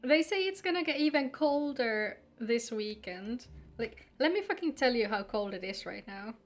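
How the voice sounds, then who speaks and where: A woman's voice: on the high side, animated, moderate pace. A woman live-streaming a game, chatting casually and teasingly with viewers in sweary, informal talk.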